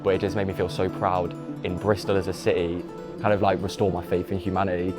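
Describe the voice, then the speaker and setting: A man calmly recalling events in a documentary interview. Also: deep voice, fast talker, loud music behind the voice. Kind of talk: reflective interview.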